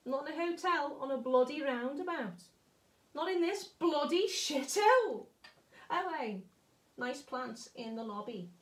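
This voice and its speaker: A woman's voice: high-pitched, expressive, a little slow. A woman acting a jaded, wistful hotel cleaner in a stage monologue, blunt, wry and conversational. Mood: sardonic. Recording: roomy, echoing space.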